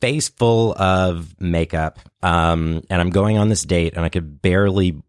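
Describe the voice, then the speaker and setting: A man's voice: sits low, unhurried, smooth, no room sound, studio quality. A man telling a casual comic story in a conversational, self-deprecating way, as a comedian guest on a podcast.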